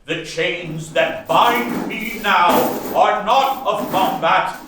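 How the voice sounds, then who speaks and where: A man acting in a radio play as the doomed, solemn ghost of a dead soldier turned businessman, with a drawn-out theatrical delivery. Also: high voice, over music. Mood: mournful, grave. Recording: echoey, distant stage recording with noise.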